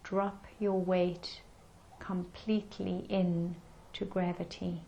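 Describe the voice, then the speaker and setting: A woman leading a guided meditation in a gentle, soothing manner with unhurried pauses. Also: lowish voice, flat delivery, gravelly, muffled recording, a little hiss or hum.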